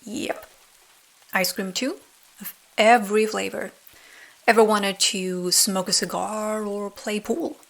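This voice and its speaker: A woman's voice: medium pitch, fairly even intonation, takes it slowly, creaky, poor audio. A woman voicing a sly male coachman in a bedtime story, wheedling and conspiratorial. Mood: tempting. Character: sly coaxing male coachman luring children with treats.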